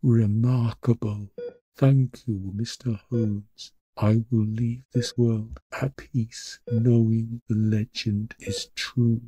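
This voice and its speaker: An actor playing a grateful, dying old gentleman in an audio drama, speaking solemnly. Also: medium pitch, little pitch movement, breathy edge, no room sound. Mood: moved, weary. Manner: slow, solemn.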